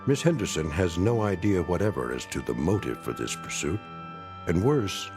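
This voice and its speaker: Grave male storyteller, very low voice, measured and formal, eerie radio suspense over music. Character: grave omniscient narrator of an eerie tale. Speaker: narrator. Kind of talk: scripted suspense radio narration.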